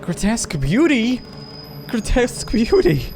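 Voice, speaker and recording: A man voicing an excitable, dorky middle-aged father in a visual novel, gushing with delight. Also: very high voice, a little slow, pitched above the performer's own voice, full character voice. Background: music behind the voice.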